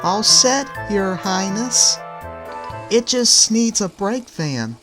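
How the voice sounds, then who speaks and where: A man voicing a kindly, protective female tank engine in a children's railway story, with a gentle, teasing manner. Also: sits high, animated, slow pace, music in the background, pitched above the performer's own voice.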